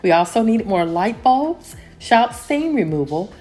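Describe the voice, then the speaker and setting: A woman narrating a home cleaning vlog as a calm, scripted voice-over, steady and homey, with lines that fall at the end. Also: mid-range voice, a little slow. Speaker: cleaning vlogger.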